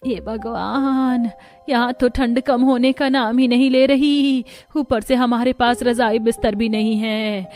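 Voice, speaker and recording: A woman's voice: a little high, fairly even intonation, takes it slowly, a little mellow. A woman voicing a humble, hardworking young daughter-in-law in a cartoon story, earnest and plaintive. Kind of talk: Hindi cartoon story character.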